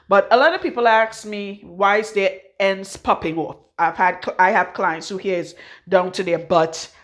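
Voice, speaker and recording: A middle-aged Trinidadian woman giving a frank, forceful hair-care talk as a loctician in her salon. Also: medium pitch. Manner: blunt, forceful, frank, swears freely. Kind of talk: casual hair-care tutorial.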